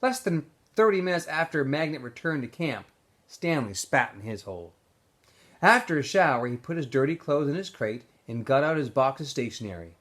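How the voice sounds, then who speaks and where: A man reads a novel aloud to students with a very animated pitch range. His delivery is informal storytelling, with occasional stumbles that he corrects as he goes. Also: moderate pace. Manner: informal, stumbles and corrects himself while reading. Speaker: teacher reading aloud to students.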